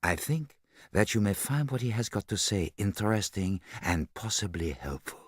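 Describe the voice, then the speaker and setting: A man voicing a fastidious older detective in an audiobook, formal and measured. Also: on the low side, lively intonation, slightly rough, no echo, clean studio sound. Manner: formal, measured, quietly self-satisfied. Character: fastidious older male detective, soft-spoken and precise. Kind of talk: audiobook character voice.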